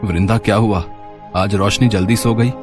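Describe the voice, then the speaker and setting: A man as a low-voiced kindly father. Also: steady tone, normal speed, over music, close to an everyday voice. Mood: tired, caring. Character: calm, kindly family father. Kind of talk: acted children's story dialogue.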